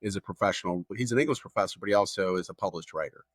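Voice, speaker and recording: Podcast host guy, talks fast and low, dry close sound, rambly upbeat questions. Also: husky, no echo. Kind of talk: casual interview talk.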